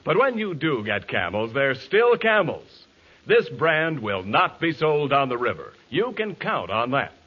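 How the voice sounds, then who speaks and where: A man delivering a 1940s radio advertising pitch as the announcer. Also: sing-song, a little slow, breathy edge. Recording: muffled old radio broadcast.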